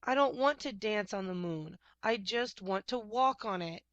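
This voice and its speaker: A woman's voice: mid-range voice, measured pace, no echo, muffled recording, natural voice. Woman voicing a stubborn, dramatic storybook cow. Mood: wailing. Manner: emphatic, wailing and grumbling. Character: stubborn, dramatic female cow longing for the moon. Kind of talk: picture book character voice.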